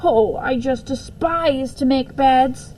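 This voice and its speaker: Woman doing a whiny, high-voiced young girl. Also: slow, dark tone, slight background noise. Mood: grumbling. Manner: whiny, exaggerated complaining. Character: young schoolgirl grumbling about her chores.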